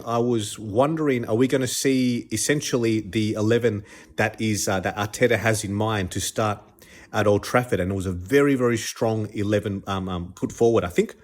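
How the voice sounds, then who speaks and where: A man hosting his own podcast, a little stuffed up with a cold, talking enthusiastically with many restarts and repeated words. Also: fairly low pitch. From Australia. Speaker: podcast host. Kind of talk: casual solo podcast commentary.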